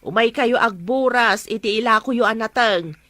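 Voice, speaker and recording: A woman's voice: fairly high pitch, normal speed, a bit bright, dry recording, close to an everyday voice. A middle-aged woman with an Ilocano accent playing a firm, nagging farm mother in an amateur family drama. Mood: firm insistent. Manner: insistent, scolding.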